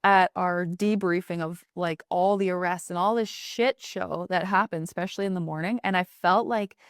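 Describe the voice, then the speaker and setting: A woman's voice: fairly low pitch, fairly even intonation, moderate pace, dry recording, clean studio sound. A woman podcast host talking in a chatty, rambling way with many filler words. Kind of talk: casual podcast conversation.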